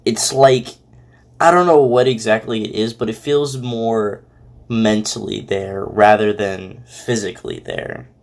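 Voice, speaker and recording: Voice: mid-range voice, steady tone, unhurried. A commentator giving casual, rambling reactions, thinking aloud hesitantly in slangy everyday words.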